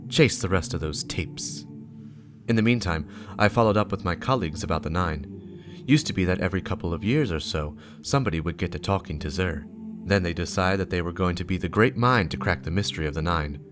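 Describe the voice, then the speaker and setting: A man performing a stern, driven investigator who dictates clipped, hard-boiled field reports in a dramatic reading of game lore. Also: low-pitched. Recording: music behind the voice.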